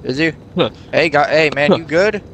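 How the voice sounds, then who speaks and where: A man playing a wary, trigger-happy guard at a zombie outbreak settlement, halting and casual in a game roleplay. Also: a little high, fairly bright tone, a little hiss or hum. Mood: suspicious. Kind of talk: improvised video game roleplay skit.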